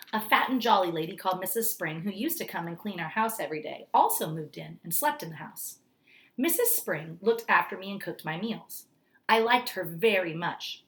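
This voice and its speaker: A woman narrating a children's story aloud as a teacher, in an expressive read-aloud style. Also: on the low side.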